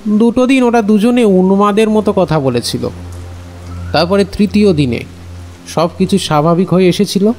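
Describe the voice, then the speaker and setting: A man's voice: high voice, normal speed, a little mellow, soft background music, pitched above the performer's own voice. A man voicing a plain-spoken, earnest villager who recounts frightening events in an audio story. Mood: earnest, recounting.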